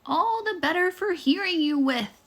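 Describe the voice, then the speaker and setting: A woman's voice: very high-pitched, expressive, moderate pace, pitched above the performer's own voice. A woman voicing a sly storybook wolf who pretends to be a frail old grandmother in a wobbly, quavering voice. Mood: falsely sweet.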